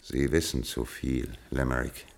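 An actor playing a suave Scottish lord turned gangster boss in a 1960s German radio play, aristocratic, understated and dryly ironic. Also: male voice, extremely low pitch, steady tone, unhurried. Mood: coolly menacing.

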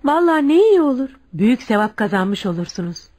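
A woman's voice: fairly high pitch, sing-song, fairly quick, a little mellow, dry recording. An actress playing a kindly, middle-aged mother of a grown son in a Turkish radio play, homely and emphatic. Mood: pleased, warm. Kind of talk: Turkish radio theatre acting.

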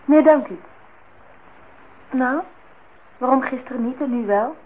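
Vrijpostige jonge vrouw, hoge stem. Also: female voice, high-pitched, measured pace. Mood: probing. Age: young adult. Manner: pert, direct, questioning. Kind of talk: radio play acting, intimate dialogue. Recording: tinny, noisy old radio play recording.

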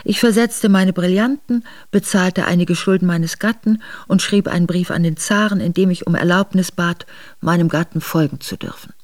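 A woman's voice: fairly low pitch, normal speed, a little mellow, no room sound, natural voice. Solemn princess recounting her life, played by a woman, dry close sound. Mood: sober, resolute. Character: grieving Russian princess recounting her parting from family. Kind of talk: first-person memoir narration in a radio drama.

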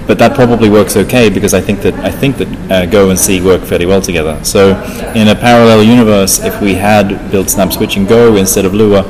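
Low-voiced man over crowd chatter. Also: rough recording. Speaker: interview guest, software developer. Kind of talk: conversational technical interview answers. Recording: live recording with crowd chatter behind.

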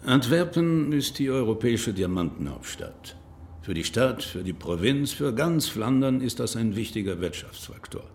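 A man's mid-pitched voice as a grave Belgian official in a radio crime drama. He speaks formally and deliberately, pressing his point. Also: big pitch swings, a little mellow, slight background noise. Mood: grave explaining. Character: grave, insistent male Belgian government official. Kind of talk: naturalistic radio drama acting.

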